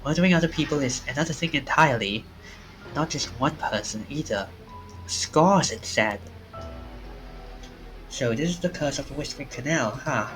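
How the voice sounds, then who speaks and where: A man's voice: medium pitch, animated, normal speed, long pauses, a bit bright, soft background music, natural voice, rough recording. Man reading as a shaken, defiant curse bearer. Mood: indignant. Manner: emphatic, indignant inner monologue. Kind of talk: visual novel read-aloud.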